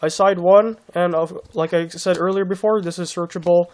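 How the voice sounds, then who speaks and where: A young man with a slightly raised pitch talks through a card game deck in a loose, casual ramble. His voice is hoarse from being sick, and he often stops and restarts his sentences. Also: fairly even intonation, moderate pace, a little mellow, slight background noise. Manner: rambling, many restarts and fillers. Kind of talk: casual deck profile walkthrough.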